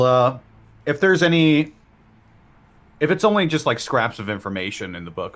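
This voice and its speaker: A man with a lowish voice plays a tabletop role-playing game. He talks casually, laying out what his character does in small pieces as he thinks it through. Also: a bit bright.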